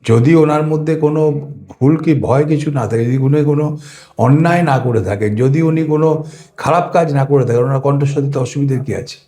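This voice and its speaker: A man's voice: mid-range voice, fairly quick, mellow. A man with a West Bengal Bengali accent giving political commentary in an interview, indignant and combative, firing repeated rhetorical questions. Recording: echoey room, music underneath.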